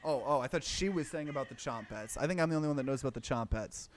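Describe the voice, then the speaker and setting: A man's voice: mid-range voice, quick pace, slight background noise. A man hosting a let's play, reading visual novel narration aloud and adding casual commentary. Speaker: gaming let's play host.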